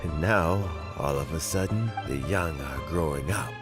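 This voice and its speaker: A man's voice: extremely low pitch, over loud music. A man playing a kindly, reflective older official and mentor in an anime game, speaking in a formal, avuncular manner. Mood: reminiscing, fond. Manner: measured, avuncular, chuckling, formal. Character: kindly aging male official, reflective mentor, fair-minded.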